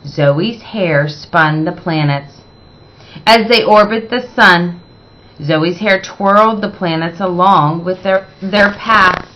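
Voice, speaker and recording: Woman reading a kids book out loud, slow, bright voice, really expressive and dramatic. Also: on the low side, poor audio. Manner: deliberate, dramatic emphasis. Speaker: read-aloud storyteller. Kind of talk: expressive children's picture book narration.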